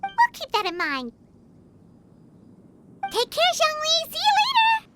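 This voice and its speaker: A woman voicing a tiny, chirpy, childlike fairy companion in a video game, with a squeaky, perky voice. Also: wildly swooping pitch, measured pace, exaggerated voice. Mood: friendly, chirpy. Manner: refers to herself in third person, perky. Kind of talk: English video game voice acting. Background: loud game music.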